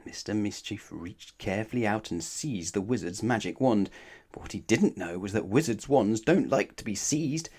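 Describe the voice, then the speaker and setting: A man's voice: lowish voice, animated, normal speed, darkish tone, husky. A man reading a children's story aloud as narrator, expressively and with dramatic emphasis. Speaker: storybook reader.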